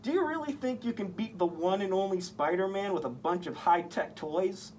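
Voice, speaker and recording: A cocky storybook superhero, played by a male reader, tosses out taunting wisecracks. The voice sits fairly high and bounces around in pitch, over some background noise. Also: animated, moderate pace, a little mellow.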